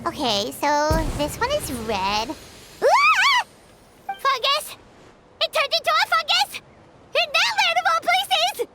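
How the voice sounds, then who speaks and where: A woman voicing a tiny, childlike game companion, bossy and excitable, with a chirpy, exclamatory delivery. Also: very high voice, takes it slowly, long pauses, very noisy, heavily acted. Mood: excited, alert. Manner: chirpy, exclamatory, refers to self by name. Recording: loud background game music.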